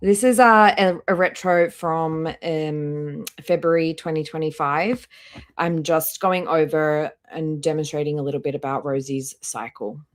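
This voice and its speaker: Low voiced lady streamer doing reaction commentary, blunt and sweary, chatty. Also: a little slow. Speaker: livestream host, commentator. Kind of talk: casual reaction livestream commentary.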